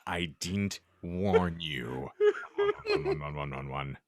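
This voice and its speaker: A man's voice: very deep voice, slow pace, no echo, clean studio sound. A man giving a comic dramatic reading of badly written fiction as a podcast co-host, tripping over words and breaking into laughter. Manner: stumbles over misspelled text, breaks into laughter.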